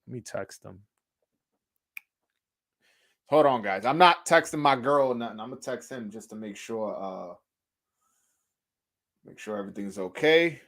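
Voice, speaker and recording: A man's voice: medium pitch, animated, unhurried, pauses often, a bit bright. A young man hosting a casual live-stream interview, joking loosely, stammering and repeating words, switching into Spanish to translate for his guest. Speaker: host.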